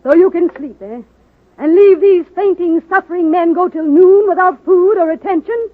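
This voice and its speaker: An actress playing a tough, blunt, middle-aged widowed army nurse, fiercely protective, in 1930s-40s radio drama style. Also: female voice, very high voice, moderate pace. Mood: indignant, scornful. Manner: blunt, commanding, indignant. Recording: tinny, narrow-band old radio recording.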